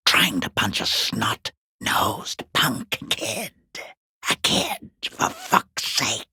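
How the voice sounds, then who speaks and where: A man voicing a foul-mouthed, sarcastic watcher in a video game, taunting in a rough, breathy, creaky half-whisper. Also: on the low side, huge pitch swings, bright, forceful tone, no echo, heavily acted. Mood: scornful accusation. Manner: sarcastic, taunting, drawn-out mockery. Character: sneering, foul-mouthed watcher peeking through a harbour gate gap. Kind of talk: video game character voice acting.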